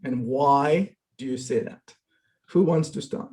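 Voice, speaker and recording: A man hosting an online panel, unhurried and friendly, calling on each guest by name over a video call. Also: medium pitch, mellow. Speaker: host and moderator.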